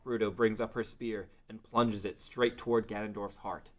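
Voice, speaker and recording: A man's voice: fairly low pitch, steady tone, normal speed, a bit bright. A man narrating a scripted fantasy story as the narrator of a table read.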